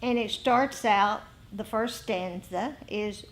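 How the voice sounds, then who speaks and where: Slow-paced woman teaching meditation. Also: mid-range voice, fairly bright tone, slight background noise. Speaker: meditation teacher giving a dharma talk. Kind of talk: informal spoken dharma lesson to a group. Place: indoor group meeting.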